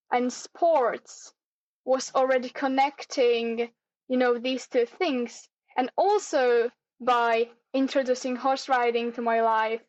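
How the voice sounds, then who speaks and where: A Polish woman in her twenties with a Polish accent, chatting as a guest in an interview. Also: high-pitched. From Poland. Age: 20s. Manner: friendly, chatty with frequent fillers. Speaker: guest, hobby horse competitor. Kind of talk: casual interview. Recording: dull lo-fi audio.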